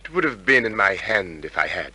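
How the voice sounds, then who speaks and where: Cool, bright-voiced gunman. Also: deep voice, big pitch swings, fairly quick, slightly rough, heavily acted. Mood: cool, compliant. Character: cool, cooperative male gunman, protective brother. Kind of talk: 1950s radio crime drama acting. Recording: old radio drama recording with music.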